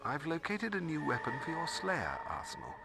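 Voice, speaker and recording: An actor playing a scholarly, stern middle-aged mentor in a video game, speaking formally and precisely. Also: male voice, a little high, wildly swooping pitch, moderate pace, creaky, heavily acted. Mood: grave, explanatory. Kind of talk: video game cutscene exposition. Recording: music behind the voice.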